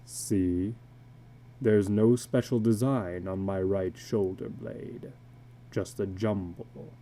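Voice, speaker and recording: Man voicing a deep, brooding, weary old carnival man. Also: steady tone, slow pace, warm tone, slight background noise. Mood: grim, brooding. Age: older. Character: weary, bitter tattooed carnival man, older, haunted. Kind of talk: dramatic reading of a short story.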